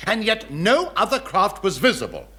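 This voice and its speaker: A man playing a brisk, pompous, easily exasperated figure of naval authority in a radio sitcom, formal and commanding. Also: high voice, huge pitch swings, sharp tone, exaggerated voice. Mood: formal, grave. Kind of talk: 1960s radio sitcom acting.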